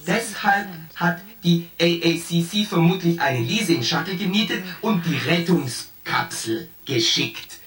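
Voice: medium pitch, expressive, unhurried, hardly any pauses, natural voice. An actor voicing a shipboard artificial intelligence in a science fiction radio play, coldly logical and pedantic as it itemizes its points. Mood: methodically accusing. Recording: roomy echo, distant voice, music behind.

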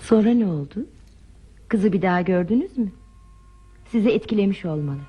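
Tender, curious woman, husky and soft. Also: medium pitch, animated, normal speed. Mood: eager. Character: tender, curious woman drawing out a shy man's memories. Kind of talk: radio theatre acting. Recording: dull, muffled radio drama recording.

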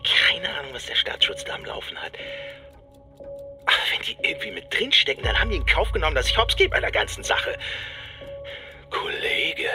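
An actor playing a shaken, bitter policeman recounting his trauma in a halting, breathy monologue for a radio drama. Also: fairly high pitch, sing-song, music in the background. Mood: suspicious, bitter. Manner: halting, fragmented, often breathy half-whisper.

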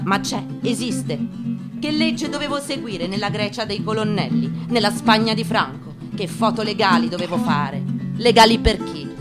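A woman giving a deliberate, declamatory dramatic reading of a first-person memoir, in short phrases with pauses. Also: mid-range voice. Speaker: actress giving a staged reading. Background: acoustic guitar playing.

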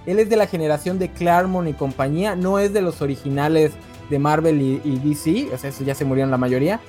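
A man's voice: a little high, fast, a little mellow, soft background music. Rambling Mexican man hosting a stream. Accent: Mexican Spanish. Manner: rambling, restarts often, self-correcting. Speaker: podcast host. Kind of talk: casual comic-book chat.

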